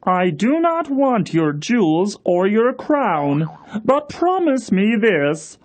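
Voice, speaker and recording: A woman voicing an earnest, insistent male frog in a children's fairy tale, with exaggerated, deliberate delivery. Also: medium pitch, very animated, a little mellow. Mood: bargaining.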